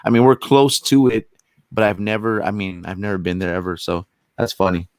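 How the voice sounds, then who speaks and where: A man's voice: on the low side, steady tone, a little fast, smooth, dry recording, studio quality. A man from San Antonio with a Texan accent, chatting as a guest on a video call and telling stories while acting out his own lines. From San Antonio, Texas. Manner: storytelling, acts out his own quoted lines. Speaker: guest, paranormal investigator. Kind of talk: casual chat.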